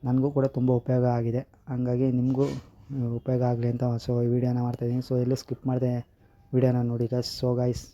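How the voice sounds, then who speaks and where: Casting: male tutorial host, mellow dark tone, very even delivery, casual step-by-step explanations, slightly lo-fi sound. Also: medium pitch, quick pace. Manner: repeatedly addresses viewers as "guys". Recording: slightly dull lo-fi audio.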